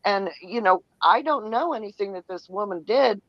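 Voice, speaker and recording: A woman's voice: mid-range voice, expressive, moderate pace, no echo. Female, casual explainer of gossip, close dry sound with a muffled lo-fi finish. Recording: dull lo-fi audio.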